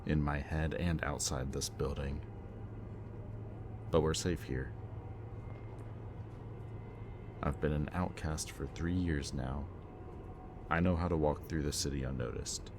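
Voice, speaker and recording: A man performs a brooding villain in an audio roleplay with an extremely deep voice. He speaks slowly and deliberately, with mocking politeness over a weary, haunted core. Clearly audible ambient noise sits behind him. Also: fairly even intonation. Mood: tense, weary. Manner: theatrically polite, slow and deliberate, sighing. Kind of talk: ASMR-style audio roleplay acting.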